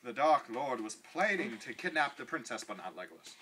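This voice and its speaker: A woman's voice: a bass voice, big pitch swings, a little fast, fairly bright tone, breathy edge. A woman giving an over-dramatic comic reading of bad fan fiction, with exaggerated emphasis that often verges on laughter.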